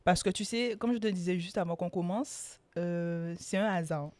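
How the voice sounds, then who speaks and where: A woman hosting a podcast interview, chatty and lively, asking friendly questions. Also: fairly low pitch, normal speed, dry recording. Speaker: podcast host.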